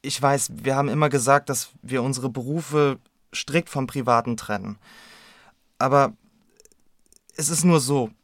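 Brief: a hesitant partner, very slow, dry sound. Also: male voice, mid-range voice, a bit bright, no echo. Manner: hesitant, serious. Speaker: partner of the detective.

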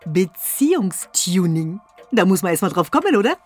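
A woman's voice: lowish voice, sing-song, normal speed, music in the background. A woman playing a self-pleased couples-course leader in a comic audio drama, presenting to her group and sprinkling in French. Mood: self-satisfied, brisk.

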